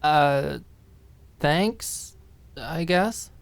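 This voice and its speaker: A woman's voice: deep voice, expressive, very slow, creaky, full character voice. A woman voicing a glum, timid young woman in an audiobook drama, evasive and hesitant, stammering her excuses. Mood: awkward.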